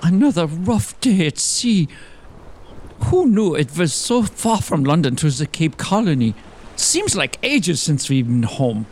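A man playing a fussy, excitable young lawyer in a comic audio drama, with a fretful, theatrical delivery. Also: fairly high pitch, measured pace. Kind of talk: gothic comedy audio drama dialogue.

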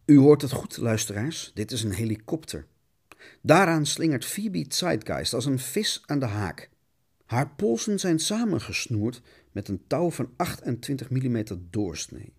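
Theatrical male narrator with swooping pitch. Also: big pitch swings, unhurried, a little mellow, husky. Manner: melodramatic, mock-dramatic, declamatory. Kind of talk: theatrical scripted radio-essay narration.

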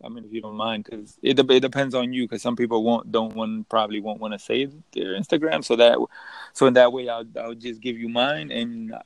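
A young man from the Dominican Republic hosting a casual podcast chat. His voice is gravelly and he rambles, restarting his sentences often. The sound is dry and close. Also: medium pitch, steady tone, brisk, no echo, low-quality recording. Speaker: podcast host.

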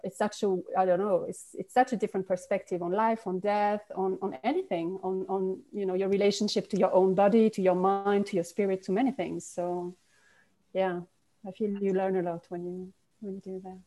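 A woman's voice: medium pitch, fairly even intonation, brisk, mellow. A woman, a guest in a conversational interview, talking reflectively with many restarts and fillers. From Switzerland. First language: French.

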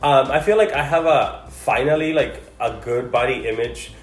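Man, podcast co-host, reflective hesitant talk, middle pitch, roomy echo, faint music. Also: moderate pace. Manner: reflective, hesitant, many fillers. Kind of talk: casual chat.

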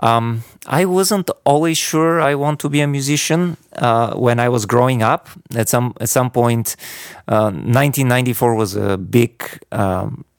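Gravelly man. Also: medium pitch, unhurried, dry recording, studio quality. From Bulgaria. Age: 30s. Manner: warm, laughs often, many fillers. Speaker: guest conductor. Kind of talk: casual interview chat.

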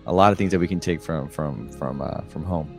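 Man from South Texas, very low voice, thoughtful podcast guest, faint music underneath. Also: a little fast, a little mellow. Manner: thoughtful, adds "right?" after phrases. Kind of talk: reflective casual conversation.

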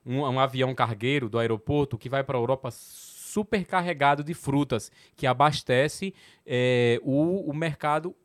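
A young man with a northeastern Brazilian accent from the São Francisco valley, chatting on a podcast. He tells stories with animated energy, laughs a lot, and drops casual swears. His voice sits at a middle pitch. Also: normal speed. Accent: Pernambuco sertão, São Francisco valley. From Petrolina, Pernambuco, Brazil. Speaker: comedian guest on a podcast.

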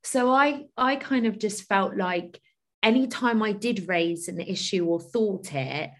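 A woman guest speaking thoughtfully in a casual discussion, measured and drawing out key words. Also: mid-range voice. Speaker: guest, co-founder.

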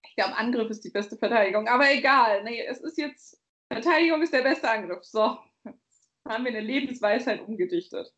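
A woman's voice: fairly high pitch, expressive, fairly quick, a bit bright, muffled recording. A woman from Bavaria co-hosting a podcast, chatting casually, rambling and laughing often. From Bavaria, Germany. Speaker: podcast co-host. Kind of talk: casual chat.

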